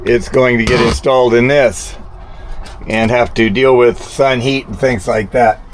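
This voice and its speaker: A man's voice: medium pitch, steady tone, measured pace. A man narrating a hands-on job casually, rambling a little as he thinks aloud. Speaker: vlogger installing a vintage radio. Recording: clear background noise, vehicle ambience.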